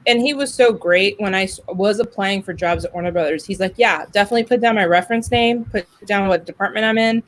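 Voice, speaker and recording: Female podcast host, medium pitch, casual and chatty, storyteller who voices the quotes. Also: quick pace, few pauses. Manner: talkative, animated storytelling with acted-out quotes.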